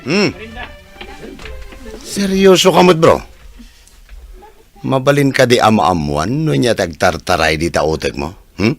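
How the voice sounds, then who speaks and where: A man acts out a teasing village buddy with an Ilocano accent, skeptical in tone. His mid-pitched voice takes its time and swoops widely in radio comedy style. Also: very animated, slow, long pauses, soft background music.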